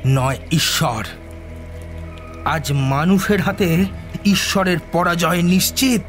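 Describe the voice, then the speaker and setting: An actor playing an obsessed, triumphant scientist who defies God, declaiming theatrically in speech for an audio drama. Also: male voice, high voice. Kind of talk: dramatised audio story acting.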